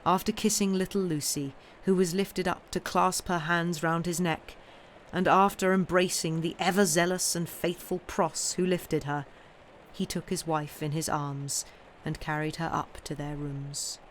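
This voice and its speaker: A woman's voice: fairly low pitch, little pitch movement, a little slow. A woman reading a classic novel aloud on a live stream, with casual asides to listeners. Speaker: reader and streamer. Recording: clearly noisy live stream recording.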